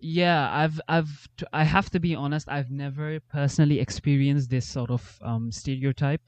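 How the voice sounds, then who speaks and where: A young man from Saudi Arabia whose first language is Tamil, speaking as a podcast guest in relaxed conversation, pausing and restarting as he thinks. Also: on the high side, no echo, muffled recording. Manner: thoughtful, lots of fillers and restarts. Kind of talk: casual conversation.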